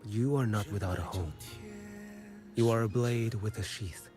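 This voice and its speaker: Actor as a low, solemn swordsman over music. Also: close to an everyday voice. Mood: solemn, gentle. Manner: measured, solemn, formal. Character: grave, weary swordsman executioner, stoic and resolute. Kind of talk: scripted video game dialogue.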